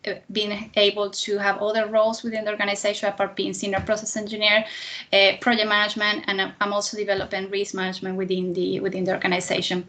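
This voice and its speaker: Woman, thirties, Venezuelan accent, native Spanish speaker, mid-range pitch, engineer presenting to students, hesitant non-native phrasing. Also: completely flat delivery, fast talker, bright, forceful tone. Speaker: guest speaker, chemical engineer. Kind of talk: careers presentation to students with slides.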